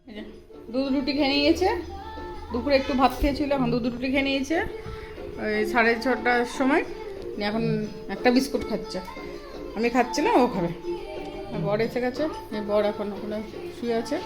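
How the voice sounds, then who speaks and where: High-voiced young woman vlogging. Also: fairly quick, pauses often, music in the background. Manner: informal, chatty everyday narration. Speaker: family vlogger, mother of a small child. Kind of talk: casual home vlog chat. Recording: noisy home recording with background ambience.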